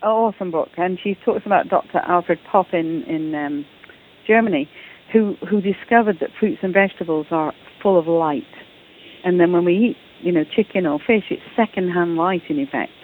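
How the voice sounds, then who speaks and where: A woman's voice: fairly low pitch, normal speed, a little hiss or hum. English woman on a tinny phone line. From England. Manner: enthusiastic, repeats words when starting sentences. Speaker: author and guest. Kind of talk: casual interview talk.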